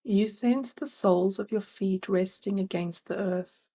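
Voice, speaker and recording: A woman narrating a guided meditation as its guide, gentle and soothing, leaving long pauses between phrases. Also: medium pitch.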